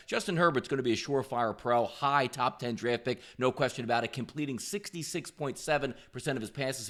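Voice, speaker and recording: A man's voice: a little high, fairly bright tone. A man hosting a sports betting picks show, delivering commentary in an upbeat, emphatic, salesman-like way.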